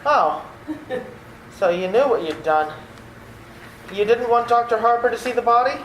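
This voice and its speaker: An exasperated nephew performed by a woman in an old-time radio play. The voice is high and animated, wry and easily set sputtering. It rings in an echoey room with slight noise. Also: normal speed, natural voice. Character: exasperated, flustered nephew, an adult man.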